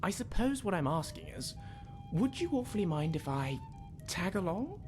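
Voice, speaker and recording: A man's voice: high voice, very animated, moderate pace, a little mellow. A man playing an eager, bookish young scholar in a video game, polite, wordy and well-spoken, with a self-deprecating manner. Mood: sheepish, courteous. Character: eager, bookish young male scholar adventurer from a fantasy empire. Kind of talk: video game character dialogue. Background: music.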